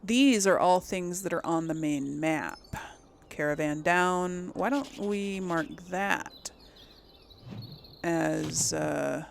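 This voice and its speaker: Creaky-voiced woman chatting through a video game. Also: lowish voice, slow, a little hiss or hum. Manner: chatty, thinking aloud to the audience. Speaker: host of a video game let's play. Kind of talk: casual gameplay commentary.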